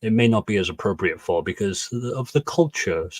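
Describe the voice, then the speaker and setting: A man from England with a Liverpool accent, a soft-spoken guest chatting casually on a livestream. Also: low voice, expressive, a little fast, no room sound. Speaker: guest drone pilot.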